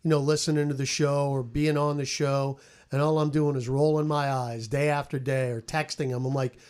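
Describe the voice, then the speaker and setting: A man's voice: medium pitch, level tone, normal speed, a little mellow, clean tone. An American man, a sports broadcaster, telling stories on a podcast roundtable. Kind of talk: animated storytelling on a podcast roundtable.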